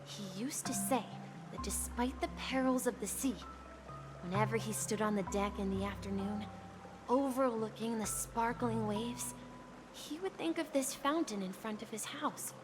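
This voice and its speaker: A woman voicing a gentle, wistful young boy in a video game, earnest and a little hesitant. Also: on the high side, normal speed, natural voice. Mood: wistful, nostalgic. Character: gentle, wistful young boy recalling his seafaring grandpa. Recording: game music behind the voice.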